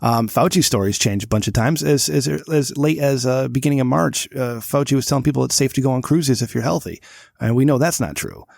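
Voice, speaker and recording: Gravelly laid-back podcast host. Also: male voice, mid-range voice, lively intonation, fairly quick, darkish tone, dry recording, clean studio sound. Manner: laid-back, hedging with fillers, laughs often. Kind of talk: casual podcast chat.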